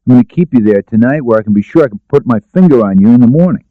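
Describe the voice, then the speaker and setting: A man voicing a stern, insistent police lieutenant with a gruff, official manner in an audiobook. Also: mid-range voice, lively intonation, rapid-fire, no room sound, narrow, tinny audio, voice pushed up, close to an everyday voice. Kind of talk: audiobook character dialogue.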